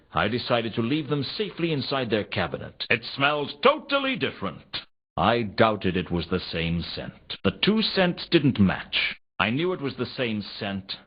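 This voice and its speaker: An actor voicing a world-weary hardboiled detective in a fantasy video game, with a sardonic, deadpan delivery in both dialogue and narration. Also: male voice, on the low side, slightly rough, heavily acted. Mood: weary, matter-of-fact, then musing. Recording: dull lo-fi game audio, faint noise.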